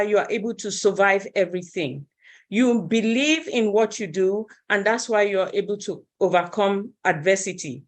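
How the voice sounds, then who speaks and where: A woman giving an earnest, effusive inspirational tribute as a participant in an online meeting. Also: medium pitch.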